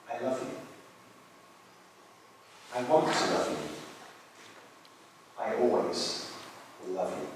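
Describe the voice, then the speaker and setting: Man acting a halting, grieving widower, echoey hall. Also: medium pitch, close to an everyday voice. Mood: aching, loving. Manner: halting, tender, with long pauses. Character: grieving young widower writing to his dead wife, tender, stubborn. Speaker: actor reading a letter. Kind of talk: dramatic letter reading. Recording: very echoey hall, distant mic, faint noise.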